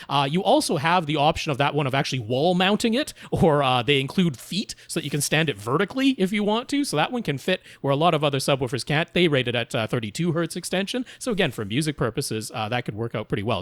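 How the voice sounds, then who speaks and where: A man's voice: fairly high pitch, no echo. A man co-hosting a podcast, explaining product options casually and in methodical detail, with careful qualifiers. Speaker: podcast co-host.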